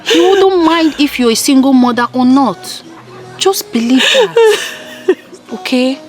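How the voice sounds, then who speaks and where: A woman's voice: sits high, expressive, slow, faint music behind. An actress with a Nigerian accent playing a patient, consoling woman in a film drama, soothing and pleading. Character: patient, consoling woman, firm defender of others.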